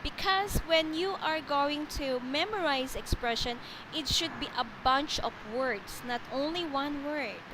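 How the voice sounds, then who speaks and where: Filipino woman, online English tutor, high voice, patient and repetitive, noisy call line. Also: measured pace, few pauses. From Philippines. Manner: patient, explanatory, often repeats and rephrases. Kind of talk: online one-on-one English lesson. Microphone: video call.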